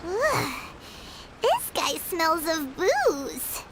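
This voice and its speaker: A woman's voice: extremely high pitch, wildly swooping pitch, very slow, heavy background noise, exaggerated voice. A woman voicing a tiny, chatty and blunt floating girl companion who talks about herself in the third person, in a video game. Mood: exasperated. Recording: game audio with loud music.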